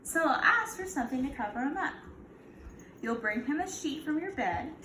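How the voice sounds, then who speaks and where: Female kindergarten teacher, high-pitched, picture-book read-aloud with questions to the listeners, recorded in an echoey room. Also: expressive, moderate pace, pushed and bright. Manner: instructive, prompting listeners with questions. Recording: echoey room, clear background noise.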